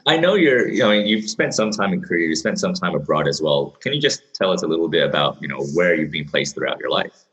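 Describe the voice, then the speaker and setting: Deep-voiced man, informal interviewer. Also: expressive, quick pace, a bit bright, dull audio. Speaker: podcast co-host interviewer. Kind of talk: casual conversational interviewing.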